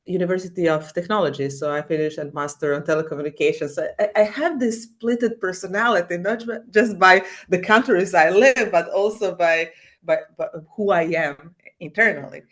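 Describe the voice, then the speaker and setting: A woman from Croatia speaking as a podcast guest, animated and full of fillers and restarts. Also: on the low side. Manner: animated, halting non-native English with many fillers. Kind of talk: casual interview.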